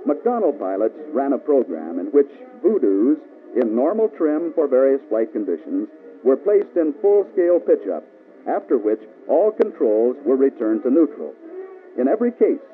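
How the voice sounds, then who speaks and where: An American man reading scripted instructional narration for a mid-century military training film, measured, authoritative and precise. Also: medium pitch, big pitch swings, phone-quality sound. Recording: band-limited old film soundtrack with music.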